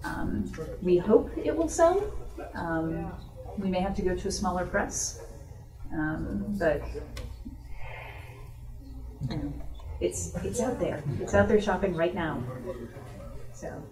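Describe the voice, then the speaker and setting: Lowish-voiced woman author in an echoey room. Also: lively intonation, takes it slowly, long pauses. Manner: conversational, explanatory. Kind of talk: informal audience Q&A at a book event. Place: bookstore event room. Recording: roomy echo, distant microphone.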